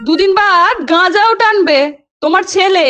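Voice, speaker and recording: A woman's voice: very high voice, normal speed, a bit bright, faint music behind. A woman voicing a nagging, scornful mother in a comedy cartoon. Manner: sardonic, nagging. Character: nagging, scornful mother complaining about husband and son.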